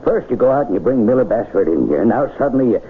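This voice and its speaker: Actor as a pushy, animated small-town newsman. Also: medium pitch, fast talker, natural voice. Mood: exasperated, insistent. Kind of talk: 1980 radio drama acting. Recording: noisy, tinny old radio broadcast recording.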